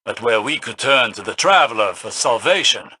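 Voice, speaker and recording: A sharp-voiced, shrewd older scholar-warrior. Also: male voice, medium pitch, expressive, slightly rough, dry recording. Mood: measured, probing. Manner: measured, formal, wry. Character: shrewd, aloof older male scholar-warrior, once exiled. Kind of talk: scripted video game dialogue.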